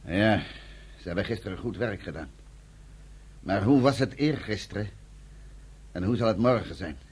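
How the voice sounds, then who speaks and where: An actor playing a weary, grave underground army commander in a radio drama, with a husky, gravelly voice. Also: male voice, on the low side, lively intonation, moderate pace, darkish tone. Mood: troubled, firm.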